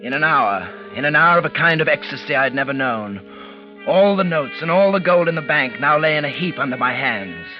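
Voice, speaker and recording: An actor playing a feverish English gentleman gambler who tells his own story in a 1940s radio drama. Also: fairly high pitch, lively intonation, moderate pace, close to an everyday voice. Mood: intense narration. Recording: muffled vintage radio recording with music.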